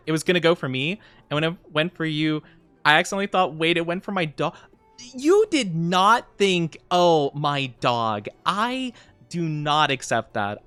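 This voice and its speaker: A man's voice: a little high, sharp tone, dry recording. A man streaming live, chatting casually with viewers in a playful, mock-offended way, laughing often, his voice wearing thin. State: losing his voice. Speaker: VTuber streamer.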